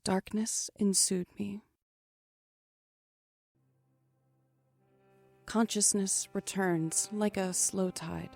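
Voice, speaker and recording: A woman's voice: medium pitch, steady tone, takes it slowly, creaky, darkish tone, dry recording. An actress playing a frightened but defiant trapped woman in a first-person thriller audio drama, with dramatic, suspenseful delivery. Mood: grim, dazed. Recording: music under the voice.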